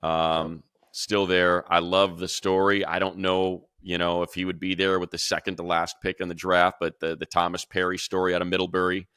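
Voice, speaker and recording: A man's voice: low voice, little pitch movement, normal speed, few pauses, bright, forceful tone, clean tone, no echo, clean studio sound. A man giving casual, opinionated football draft commentary as a radio analyst, talking conversationally and thinking aloud. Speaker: sports radio announcer and analyst.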